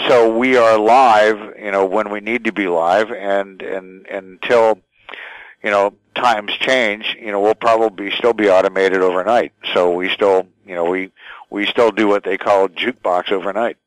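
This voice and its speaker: Deep-voiced older man, thoughtful interview guest. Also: fairly even intonation, measured pace, no echo, rough recording. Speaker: radio station general manager, interview guest. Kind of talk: conversational interview, reflective storytelling.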